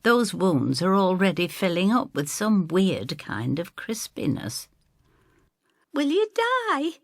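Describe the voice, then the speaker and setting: A woman reading a dramatic first-person story in an expressive, theatrical way. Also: lowish voice, unhurried, vocal fry, no room sound, clean studio sound. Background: music.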